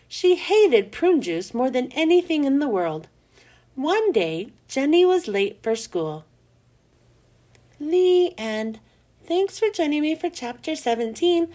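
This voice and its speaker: A woman hosting a children's read-aloud, telling a storybook with expressive, emphatic delivery. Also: sits high.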